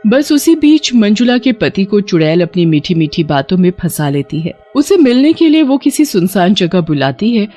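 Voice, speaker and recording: A woman narrating a scripted horror story in a steady storytelling voice. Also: moderate pace, few pauses, faint music behind. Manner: steady storytelling, dropping lower for eerie moments.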